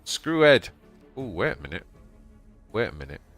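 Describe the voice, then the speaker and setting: Yorkshire man, lowish voice, very lively swings, game streamer who yelps at big moments, soft music underneath. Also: normal speed. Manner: excitable, bursts into high yelps at surprises. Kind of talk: live gameplay commentary.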